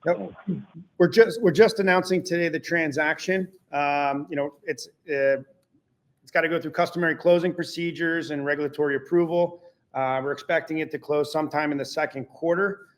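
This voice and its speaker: A male company executive fields analyst questions on an earnings call. His voice sits somewhat high for a man, and he talks in upbeat, promotional corporate language. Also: fairly even intonation, a little fast.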